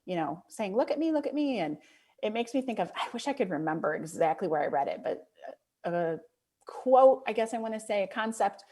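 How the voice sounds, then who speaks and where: A middle-aged woman with a Michigan accent, a guest author telling stories in a relaxed, reflective way, laughing easily. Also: mid-range voice, fairly quick. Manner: reflective, storytelling, easy laughs. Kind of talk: conversational interview.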